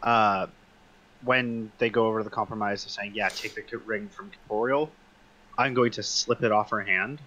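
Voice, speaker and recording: A man's voice: on the low side, fairly even intonation, moderate pace, pushed and bright. A man playing a tabletop roleplaying game, casually describing his character's actions. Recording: slightly dull, noisy mic.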